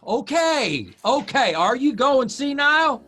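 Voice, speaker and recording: An actor playing an earnest, worried grown son in a naturalistic audio drama, halting and exasperated but affectionate. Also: male voice, very high voice, big pitch swings, bright, forceful tone, full character voice. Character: earnest grown son, a newspaper writer, worried about his aging father. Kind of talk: naturalistic audio drama acting at a live reading. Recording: music behind a live stage reading.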